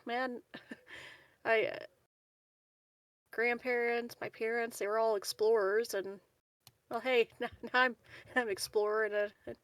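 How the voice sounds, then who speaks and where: A woman's voice: on the high side, little pitch movement, slow, pauses often, a bit bright, no echo. A woman playing an upbeat, casual space prospector in a tabletop game, rambling and hesitant. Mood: wistful, enthusiastic. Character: Simone, upbeat rambling young prospector from explorer family. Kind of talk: tabletop roleplay in character.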